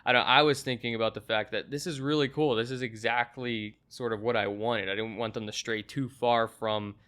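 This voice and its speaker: Male review host, mid-pitched, smooth and clear, chatty and agreeable. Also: fairly even intonation, brisk, a bit bright. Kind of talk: casual review discussion.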